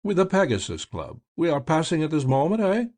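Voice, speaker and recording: A man's voice: fairly high pitch, big pitch swings, a little fast, a little mellow, pitched above the performer's own voice. A man voicing a sly, flamboyant blind male stage magician with grand, theatrical exclamations.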